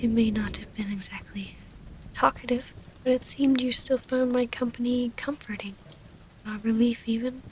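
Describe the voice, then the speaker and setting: A woman playing a loving, formal, wistful female vampire in an intimate ASMR roleplay, often breathy and close to a whisper. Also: a little high, lightly acted, poor audio. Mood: hurt, ashamed. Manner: formal, old-fashioned, intimate phrasing. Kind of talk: ASMR romantic audio roleplay. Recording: steady rain ambience, faint noise.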